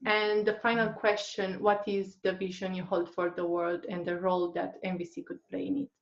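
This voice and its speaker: A woman with a fairly low voice hosts an interview, asking her questions gently and with hesitant pauses. She sounds as if she is in a roomy space, and her video call audio comes through dull and muffled. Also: level tone. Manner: gentle, hesitant, many uh fillers. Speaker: interviewer host.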